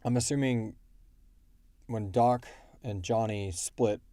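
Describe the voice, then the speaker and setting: A man's voice: on the low side, fairly even intonation, takes it slowly, warm tone, no room sound. A man chatting casually as he explains story lore in a rambling way, like a creator answering listeners. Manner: rambling, quotes characters in brief asides.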